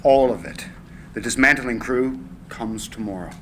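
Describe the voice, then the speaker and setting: A man's voice: medium pitch, moderate pace, fairly bright tone, a little hiss or hum, lightly acted. An actor playing a deferential male employee who speaks hesitantly and apologetically in a script reading. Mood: apologetic. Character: deferential, regretful male employee bearing bad news. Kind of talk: live screenplay reading.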